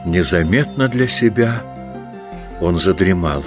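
Male audiobook narrator, low register, measured scripted storytelling. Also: lively intonation, over music.

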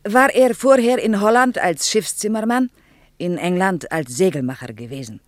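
An actress plays an earnest Soviet tour guide, a woman in her thirties. She speaks with a Russian accent, drops her articles and explains things in a didactic, slightly shy way. The radio drama sound is dry, and her pitch sits in the middle. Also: moderate pace, no echo, lightly acted. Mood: earnest lecturing. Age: 30s.

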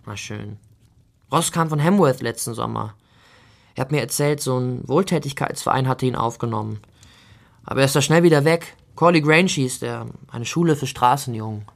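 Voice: mid-range voice, normal speed, vocal fry, lightly acted. A performer playing a tough, mercenary street boy in a German radio play, blunt and colloquial. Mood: grudging.

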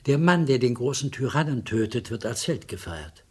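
A man's voice: mid-range voice, animated, moderate pace, a little mellow, husky. An actor playing a meek, polite but stubbornly principled little man in a radio crime play, apologetic, hesitant and philosophical. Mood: earnest, philosophical.

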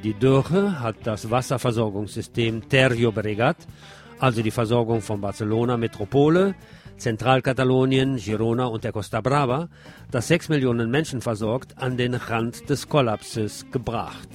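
A man's voice: medium pitch, normal speed. Male news presenter over prominent music. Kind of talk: scripted news narration.

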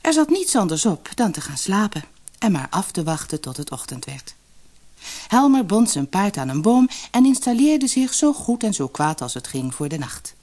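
A woman narrating a fairy tale audiobook in an expressive, measured, dramatic storybook style. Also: medium pitch.

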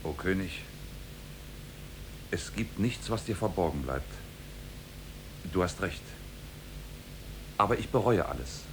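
Sneaky cringing bandit, low swoopy voice, old rumbly radio play. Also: male voice, expressive, takes it slowly, pauses often, full character voice. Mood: cowed, confessing. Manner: submissive, pleading before authority. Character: murderous Kurdish robber posing as humble gardener, cringing. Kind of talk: 1950s German radio drama acting. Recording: noisy 1950s radio recording with rumble.

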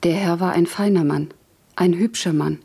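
An actress playing a deferential, anxious country housemaid looking for a position in a German radio play, meek and dutiful. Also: female voice, fairly low pitch, moderate pace, creaky, warm tone, slightly rough. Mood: earnest. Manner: meek, dutiful, short obedient answers.

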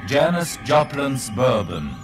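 An actor announcing cryptic chapter titles in a radio play, word by deliberate word. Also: male voice, mid-range voice, big pitch swings, music in the background.

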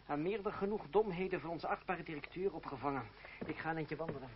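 An actor playing a proud, ironic young playwright and jealous fiancé in a historical radio drama, speaking in an articulate, self-controlled way. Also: male voice, on the high side, fast talker, slight background noise, lightly acted. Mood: cool, aloof. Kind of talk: theatrical radio drama acting. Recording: dull old radio play recording, crowd behind.

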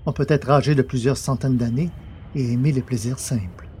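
Male storyteller with medium pitch. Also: steady tone, fairly quick, a little mellow, slight background noise. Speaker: narrator. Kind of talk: scripted story narration.

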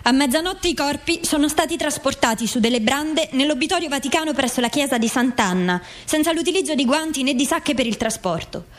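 High-pitched murdered wife. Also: female voice, expressive, fairly quick, few pauses. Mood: sober, factual. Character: commander's murdered wife recounting her death, sober. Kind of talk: scripted radio drama monologue.

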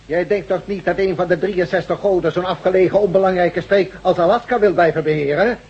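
A defiant, scornful captive villain in a science fiction radio drama. His voice is high and husky, with an insolent sneer. The recording is lo-fi and dull. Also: brisk, a little mellow. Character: defiant, scornful captured male villain, a former boss.

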